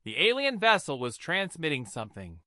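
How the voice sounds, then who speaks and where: Animated, bright-voiced male storyteller. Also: on the high side, no echo, clean studio sound. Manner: dramatic, deliberate storytelling in short phrases. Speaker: story narrator. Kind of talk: scripted science fiction narration with acted dialogue.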